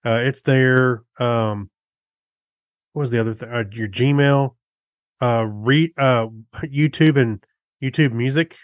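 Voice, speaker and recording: A man co-hosting a casual tech podcast, speaking in a halting way as he thinks aloud. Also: mid-range voice, dry recording, clean studio sound. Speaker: podcast co-host.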